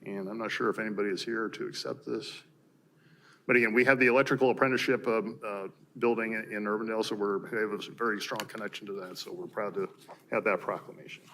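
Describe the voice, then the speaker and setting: A man's voice: on the low side, steady tone, fast, sharp tone, slight background noise. A man with an American accent, a mayor formally reading proclamations at a council meeting. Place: city council chamber.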